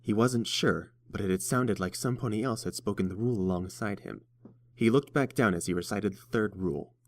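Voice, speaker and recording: A man's voice: lowish voice, brisk, no echo. A man reading scripted fiction aloud as the narrator of an audiobook.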